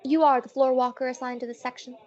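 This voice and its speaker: A woman's voice: high voice, flat delivery, fast talker, a little mellow, lo-fi sound, natural voice. A woman playing a wisecracking female private detective who narrates her case in slangy old-time radio style. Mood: indignant. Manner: wry, slangy hard-boiled storytelling.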